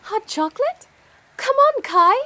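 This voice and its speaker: A woman's voice: very high voice, very animated, a little slow, sharp tone, slight background noise, exaggerated voice. A woman playing a sweet, friendly young girl in an audio drama. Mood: cheerful.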